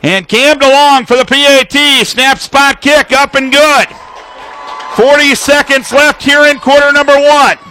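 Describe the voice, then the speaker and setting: A man with an American accent calling live football play-by-play on the radio in an animated, clipped way from a press booth. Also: very high voice, measured pace, slight background noise, rough recording. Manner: animated, clipped phrasing, shouts on big plays.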